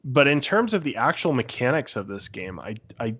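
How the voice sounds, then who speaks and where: A man on a podcast panel chatting casually, explaining his view with frequent pauses. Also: medium pitch, big pitch swings, a bit bright, slightly rough. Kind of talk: casual chat about gaming.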